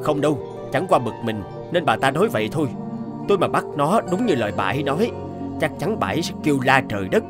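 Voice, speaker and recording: An actor playing a stern, righteous demon in human guise in a cartoon folk tale, speaking in a solemn, admonishing way. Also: male voice, mid-range voice, big pitch swings, fast talker, natural voice. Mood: calm explaining. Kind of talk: animated folk tale dub acting. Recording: music under the voice.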